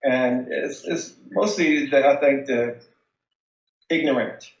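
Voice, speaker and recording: An echoey, slightly muffled phone recording of an American man with a mid-pitched voice. He answers interview questions casually as a local resident, often halting and searching for the right word. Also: gravelly. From United States. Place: remote phone call. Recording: dull, echoey phone call.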